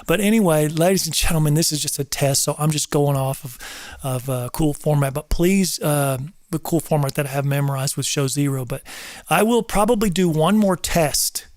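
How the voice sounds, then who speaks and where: A middle-aged man with a somewhat high voice talks to his livestream viewers in a loose, rambling way, circling back over his words. He sounds earnest and a little preacherly. The sound is dry and close to the mic. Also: moderate pace, a little mellow, no echo. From North Georgia, US South. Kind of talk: casual livestream talk to viewers.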